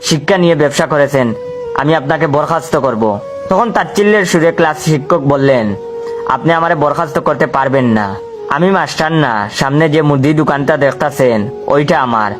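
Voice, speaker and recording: A man's voice: on the high side, normal speed, over music. A man narrating a scripted comic story as a storyteller.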